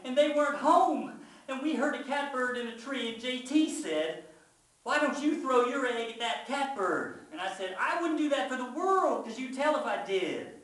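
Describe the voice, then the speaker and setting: A man's voice: very high voice, animated, normal speed, fairly bright tone, voice pushed up. A man voicing an upset young farm boy in a live-style story, with folksy, indignant outbursts. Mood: tearful pleading. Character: aggrieved young farm boy, yelling and tearful. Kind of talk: dramatic first-person storytelling. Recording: very echoey room, far from mic.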